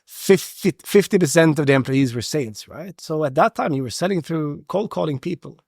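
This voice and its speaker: A man's voice: fairly high pitch, animated, a little fast, creaky, darkish tone, no echo. A man from Sweden speaking casually as an interview guest in a studio, feeling his way through phrases in short pieces with repeated words. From Stockholm, Sweden. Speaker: podcast guest, agency founder. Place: podcast studio.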